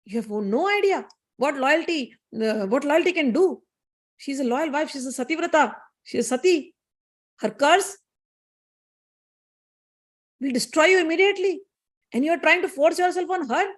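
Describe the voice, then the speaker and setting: A woman's voice: high voice, expressive, fast, few pauses. A woman with an Indian accent voicing a stern, righteous goddess who scolds and commands in a spoken myth. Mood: stern condemnation.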